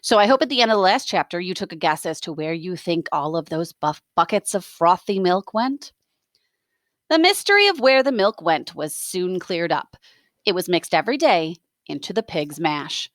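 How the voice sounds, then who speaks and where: A woman reading a story aloud as a teacher, expressive, with explanatory asides. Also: on the low side, normal speed, no echo, clean studio sound.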